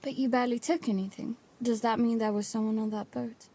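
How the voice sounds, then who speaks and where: A woman's voice: fairly high pitch, steady tone, brisk, mellow. A young woman playing an earnest, restless daughter narrating life aboard a boat in an intimate, confiding audio drama style. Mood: curious, probing.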